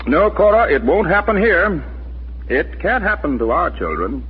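Earnest, low-voiced protective father. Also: husky. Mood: urgent warning. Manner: earnest, measured, emphatic. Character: earnest, protective, middle-aged Canadian father, firmly patriotic. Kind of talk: 1940s radio acting. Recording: muffled 1940s radio recording, music behind.

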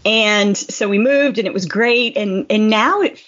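A woman's voice: medium pitch, measured pace, fairly bright tone, muffled recording. A middle-aged woman co-hosting a podcast in casual, conversational chat. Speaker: podcast co-host.

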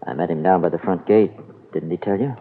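A man's voice: low-pitched, brisk, husky. A blunt, worried farm man in a vintage radio drama. He sounds middle-aged, caught on a thin, muffled old recording. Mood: uneasy, probing. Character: Lefty, worried, blunt older farmhand father. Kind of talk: old-time radio serial acting.

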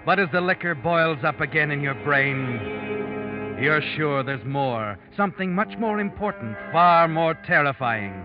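An actor playing the Whistler's role of an ominous, all-knowing narrator in a 1940s radio suspense show, theatrical and foreboding. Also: male voice, on the high side, lively intonation, a little slow. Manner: theatrical, ominous, addresses the character as you. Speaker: narrator and host of mystery radio drama. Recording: dull old radio recording with music.

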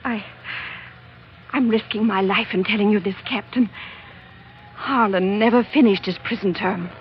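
An actress playing an anxious, secretive widow in 1940s radio melodrama, earnest and given to dramatic pauses. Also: female voice, mid-range voice, close to an everyday voice. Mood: fearful. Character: anxious, secretive new widow confiding in a sea captain. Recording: dull, faintly noisy old radio recording.

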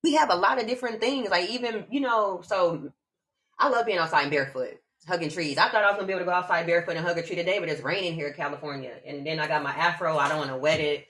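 A woman from Omaha, a laughter yoga teacher, chatting casually in an animated, slangy way. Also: fairly low pitch, fast talker. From Omaha, Nebraska. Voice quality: animated, slangy, spiritual talk with bursts of laughter. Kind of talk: casual chat.

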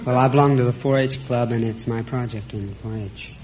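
A man's voice: medium pitch, fairly even intonation, fairly quick, a crowd in the background. A fourteen-year-old boy answering a host's questions earnestly and plainly as a schoolboy contestant. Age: 14, teenage boy. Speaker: schoolboy quiz show contestant. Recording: dull vintage radio recording, slight noise.

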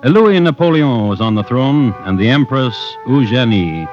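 A muffled vintage radio recording of an American man narrating crime stories over prominent music. His manner is wry and deliberate, with varied intonation. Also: mid-range voice, animated. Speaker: host and narrator. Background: prominent musical accompaniment.

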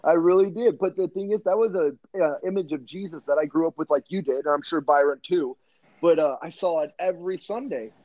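A man's voice: on the high side, brisk, no echo, highs cut off. A man in his thirties, a guest on a podcast, chatting casually and joking about himself with frequent laughs. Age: 30s. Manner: laughs often, self-mocking jokes. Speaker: guest, artist.

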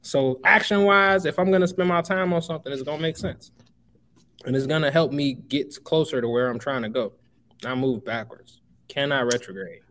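A young man in his twenties from Chicago talks as a podcast guest. He speaks emphatically, in a motivational tone, and keeps calling the listener bro. His voice sits at an average pitch for a man. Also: moderate pace, fairly bright tone, a little hiss or hum. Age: 20s. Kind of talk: casual podcast interview.

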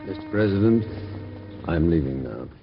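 An actor playing a quiet, formal family doctor in an old radio drama. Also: male voice, sits low, expressive, moderate pace, lo-fi sound, loud music behind the voice.

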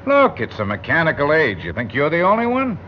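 Blustery, sarcastic man with swooping pitch. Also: huge pitch swings, fairly quick. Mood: smug, scoffing. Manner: mocking, blustery, impatient. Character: brusque, sarcastic, impatient male elevator passenger. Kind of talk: classic radio drama acting. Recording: dull, hissy old radio recording.

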